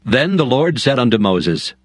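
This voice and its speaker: A man narrating dramatized scripture in a measured, solemn storytelling manner. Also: mid-range voice, big pitch swings. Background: music.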